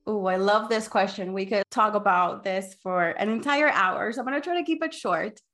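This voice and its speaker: A woman from Ecuador, a podcast guest, telling her story in a quick, enthusiastic way with frequent "like". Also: medium pitch, fairly bright tone, soft background music. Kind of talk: conversational interview.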